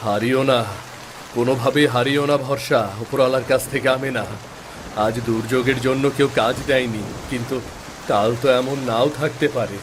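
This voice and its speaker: A man plays a poor, God-trusting village father in a cartoon tale. He speaks at a middling pitch in an earnest, patient, reassuring way, with rain clearly heard behind him. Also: steady tone, moderate pace, noisy background, close to an everyday voice. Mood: consoling, hopeful. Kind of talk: Bengali moral-story cartoon voice acting.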